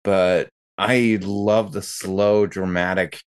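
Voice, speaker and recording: Guy with a lowish voice talking real slow, casual podcast chat. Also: fairly even intonation, smooth. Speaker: podcast co-host and role-playing game player.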